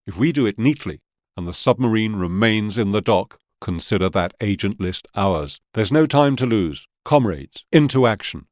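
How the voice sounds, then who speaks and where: War game briefing officer: male actor as resolute Soviet commander, lowish voice, businesslike and methodical, dry close recording. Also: expressive, normal speed, darkish tone, no room sound, close to an everyday voice. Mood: rallying.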